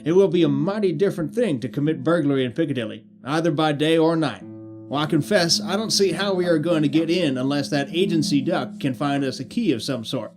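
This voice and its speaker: An actor playing a blunt, doubtful adventurer with a folksy, slangy way of talking in an audio drama. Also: male voice, on the high side, fairly quick, over music, close to an everyday voice. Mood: doubtful, pressing. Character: blunt, doubtful American adventurer, adult man.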